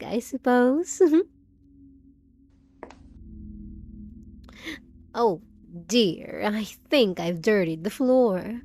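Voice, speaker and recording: Woman as undead yandere bride, higher-pitched, unhurried, sugary, possessive, teasing, unhinged, audio roleplay. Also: dark tone, a little hiss or hum. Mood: sweet, playful. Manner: drawn-out, cooing endearments with giggles and teasing questions. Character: possessive, unhinged undead bride, sweetly menacing, coaxing her spouse. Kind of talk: scripted roleplay audio drama.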